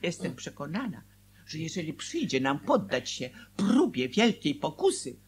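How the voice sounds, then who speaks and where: An anguished small-town wife in a radio play. Her voice is husky and very animated, swinging widely in pitch as she accuses her husband with theatrical feeling. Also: normal speed, full character voice. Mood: bitter, anguished. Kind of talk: Polish radio drama acting.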